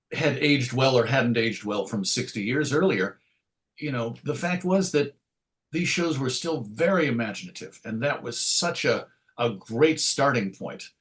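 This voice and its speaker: A man speaking as an interview guest, conversational and thoughtful, illustrating his points with anecdotes and quoted asides. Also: medium pitch. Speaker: interview guest, radio show host.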